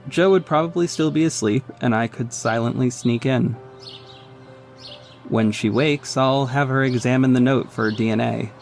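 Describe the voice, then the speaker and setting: A man's voice: medium pitch, moderate pace, faint music behind, natural voice. A man playing a brooding, wry masked detective, narrating an audio drama in a dry, introspective way. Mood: measured, reflective.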